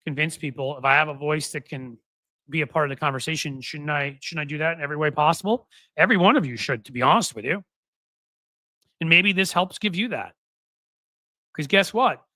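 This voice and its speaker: Chatty, bright-voiced man in his fifties. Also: a little high, dry recording, poor audio. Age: 50s. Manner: rambling, self-interrupting, wry asides. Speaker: political podcast host. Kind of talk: casual livestream talk to viewers.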